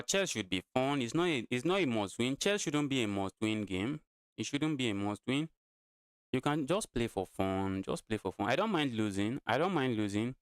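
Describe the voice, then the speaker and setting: Smooth-voiced man in his twenties, repeating himself. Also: mid-range voice, no room sound, studio quality. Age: 20s. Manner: talks to viewers, repeats his phrases. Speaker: chess streamer. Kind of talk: casual live-stream chess commentary.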